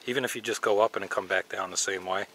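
A man's voice: low-pitched, steady tone, quick pace, fairly bright tone, slight background noise, poor audio. A middle-aged man chatting casually and enthusiastically while hiking wooded mountain trails outdoors, recorded on an action camera. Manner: enthusiastic, musing aloud while walking. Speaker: hiking vlogger.

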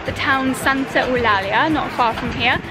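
A woman's voice: high voice, moderate pace, low-quality recording. A young woman vlogging on holiday, chatty and upbeat, talking to a camera held at arm's length outdoors. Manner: chatty, upbeat, calls viewers darling. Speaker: travel vlogger. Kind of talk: casual vlog narration. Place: outdoors at a resort and beach club. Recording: loud music and crowd in club.

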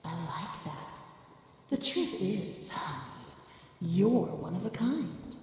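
An actress voicing a sadistic, sickly-sweet female cartoon angel villain with a gravelly edge, in an echoing hall. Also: on the low side, big pitch swings, slow pace, a little mellow, slight background noise, exaggerated voice. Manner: sickly-sweet, mocking, calls the listener honey. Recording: echoey reverberant hall, faint ambience.

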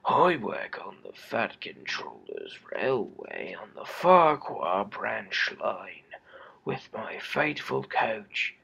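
A man voicing a steady old tram engine from a children's cartoon, deliberate and plain-spoken. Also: on the low side, vocal fry, husky, full character voice.

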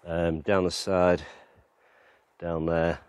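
Very deep-voiced man touring his garden. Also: extremely slow, clean tone, no echo. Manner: relaxed, rambling, lots of fillers. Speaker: gardening vlogger. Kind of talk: casual walk-around garden tour. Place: outdoors in an allotment and back garden.

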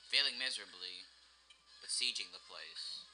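A man's voice: deep voice, normal speed, sharp tone, faint music behind, poor audio. A young man giving casual, rambling gameplay commentary with sardonic, sweary complaints. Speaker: gamer, let's play host. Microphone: shared microphone, home gameplay recording.

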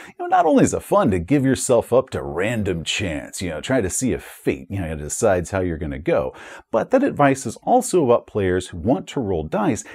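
A man in his forties talking to camera as a host, giving advice in an informal, emphatic and expressive way. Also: medium pitch, slightly rough. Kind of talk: conversational advice monologue to camera. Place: small indoor room with bookshelves and curtain. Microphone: lapel mic.

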